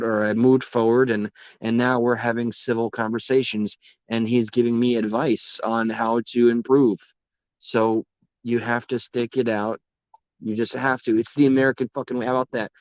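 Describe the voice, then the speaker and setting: A man's voice: medium pitch, smooth, no echo, lo-fi sound. A man hosting a livestream and chatting with viewers, rambling and passionate, swearing often, his voice worn from talking a long time. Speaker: livestream host. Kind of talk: casual livestream talk to chat.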